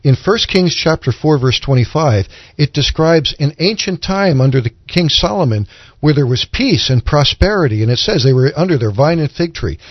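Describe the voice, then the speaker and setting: A man's voice: fairly high pitch, expressive, moderate pace, husky, no room sound, highs cut off. A man in a conversational Bible discussion, explaining points earnestly and sometimes answering his own questions. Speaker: religious podcast panelist explaining Bible prophecy.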